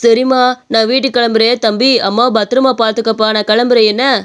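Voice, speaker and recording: A woman's voice: a little high, steady tone, quick pace, a little hiss or hum, close to an everyday voice. A woman voicing a concerned, kindly male passer-by, earnest and reassuring, in a story.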